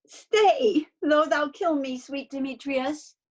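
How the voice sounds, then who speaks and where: A woman's voice: high-pitched, takes it slowly, darkish tone, dull audio. A woman playing a desperate, self-pitying young Athenian woman in a stage verse read-through over a video call, plaintive and theatrical. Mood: pleading.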